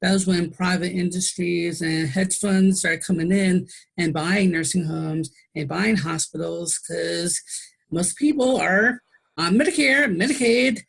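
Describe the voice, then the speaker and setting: A woman's voice: on the low side, unhurried, gravelly, breathy edge, low-quality recording. A woman in a conversational interview, a nurse explaining her views in an emphatic, pointed way.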